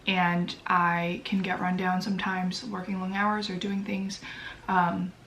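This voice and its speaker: A woman's voice: on the low side, flat delivery, a little slow, fairly bright tone, slight background noise. Congested young woman vlogging. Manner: chatty, self-deprecating, many fillers. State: congested, sniffly. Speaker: lifestyle vlogger. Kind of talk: casual chat to camera. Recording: echoey room, voice a bit far from mic.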